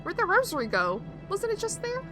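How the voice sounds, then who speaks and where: A woman's voice: very high voice, wildly swooping pitch, fairly quick, music in the background. A woman playing a story game, reading its dialogue and letters aloud and stopping to react. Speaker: let's play streamer.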